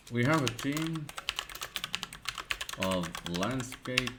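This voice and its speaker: A man with a Dutch accent, a Dutch native speaker, narrating a screen-recorded web design tutorial, thinking aloud with many pauses and fillers. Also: mid-range voice, gravelly, heavy background noise.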